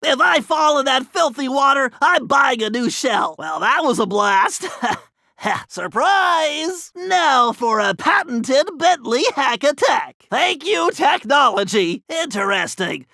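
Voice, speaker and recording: A man's voice: extremely high pitch, sing-song, slow, gravelly, bright, forceful tone, no room sound, studio quality, full character voice. A man voicing a brainy, cautious turtle hacker in a cartoon video game, with a nasal voice and precise, wordy, slightly fussy delivery. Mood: wry.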